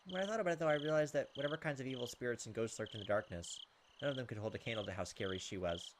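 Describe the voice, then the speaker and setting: A man's voice: medium pitch, very fast, background noise. A man hosting a gaming video, reading visual novel text aloud dramatically with joking, exasperated asides. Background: game music and cricket sounds.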